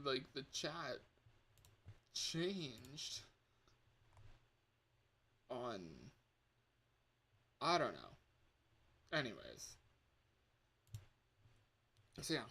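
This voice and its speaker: A man chatting casually to livestream viewers in an excitable, scattered, playful way, with frequent exclamations. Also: mid-range voice, slight background noise. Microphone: external microphone.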